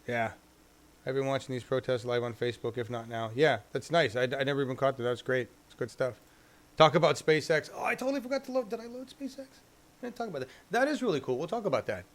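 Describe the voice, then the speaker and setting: A man hosts a casual live-stream, talking things through out loud. He rambles and restarts as he goes. His voice sits at an ordinary middle pitch. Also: expressive, quick pace. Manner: rambling, chatty, thinks out loud. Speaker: show host.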